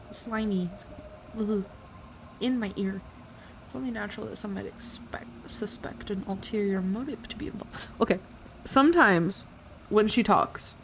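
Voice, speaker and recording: A woman streamer giving casual, teasing live reactions to a game, often giggly and repeating words for effect. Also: medium pitch. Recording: faint noise on livestream. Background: game audio.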